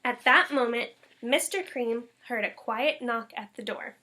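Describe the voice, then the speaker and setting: A woman reading a children's story aloud in an expressive, emphatic school read-aloud style. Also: fairly high pitch, measured pace. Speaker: elementary school staff member reading aloud.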